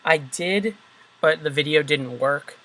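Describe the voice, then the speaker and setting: A man hosts a casual chat, talking hesitantly and searching for his words. His voice sits a little high, and he speaks slowly with many pauses. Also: fairly bright tone. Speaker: video maker, host. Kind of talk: casual conversation.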